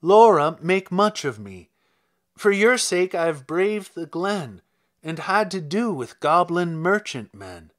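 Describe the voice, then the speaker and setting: A man's voice: high-pitched, very animated, slow pace, fairly bright tone, full character voice. A man voicing a brave, loving young woman in a fairy-tale poem, with urgent, emphatic delivery. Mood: jubilant, urgent.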